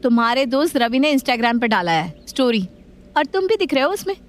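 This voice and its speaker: A woman playing a strict, watchful modern mother with a firm, knowing, scolding manner in an animated family story. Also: high-pitched, moderate pace. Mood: stern, knowing.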